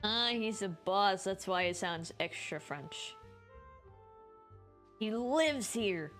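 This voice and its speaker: A woman's voice: mid-range voice, lively intonation, slow pace, fairly bright tone. A woman streamer giving casual, rambling, informal live commentary on a game. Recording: music behind the voice.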